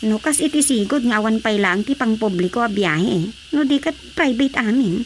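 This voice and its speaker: An everyday Ilocano housewife voiced by an actress talking in a radio drama. Her pitch is notably high, and faint crickets chirp in the background. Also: lively intonation, normal speed, slight background noise, close to an everyday voice, rough recording. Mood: conversational.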